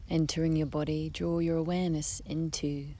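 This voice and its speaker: A woman leading a guided meditation in a soft, breathy voice, speaking gently with long unhurried pauses. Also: sits low, little pitch movement. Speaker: guided relaxation teacher. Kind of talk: guided meditation, soothing slow instruction. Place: outdoors beside a harbour. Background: birdsong, trickling stream, breeze.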